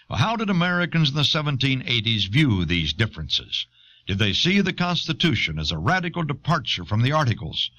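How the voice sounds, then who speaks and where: A man reading scripted documentary narration in a measured, explanatory manner, on an old cassette recording. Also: mid-range voice, pushed and bright, highs cut off. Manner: measured, expository.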